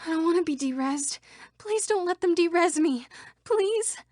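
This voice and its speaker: High-voiced female computer program, very expressive. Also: moderate pace, no echo, studio quality. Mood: fearful, despairing. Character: female computer program citizens, by turns frightened, defiant or official. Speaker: video game character voice. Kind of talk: scripted video game voice lines.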